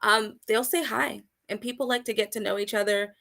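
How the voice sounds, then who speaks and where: A woman speaks with eager enthusiasm as an interview guest, her tone bright and forward. She leans hard on key words, then drops to softer, quieter phrases. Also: fairly high pitch, fairly even intonation, a little fast, creaky. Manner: enthusiastic, emphatic, swings loud and soft. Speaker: guest, outdoor community organizer. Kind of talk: casual interview chat.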